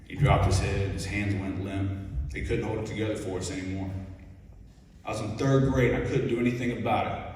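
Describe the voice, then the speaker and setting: A man in his twenties from Ohio, with an Ohio accent, speaking to a school assembly in a relaxed, conversational way and often checking in with his listeners. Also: fairly low pitch, far from the mic, slight background noise. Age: 20s. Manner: folksy and conversational, keeps checking in with the audience. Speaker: Navy petty officer, guest speaker. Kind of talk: informal speech at a school assembly. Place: large echoing school hall. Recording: echoey hall recorded from afar.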